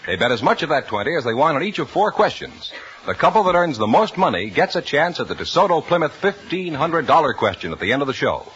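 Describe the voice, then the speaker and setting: A man working as a radio announcer, reading an ad and the game rules in a crisp, booming delivery. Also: very animated, fast talker, a bit bright, a little hiss or hum. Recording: dull old radio recording.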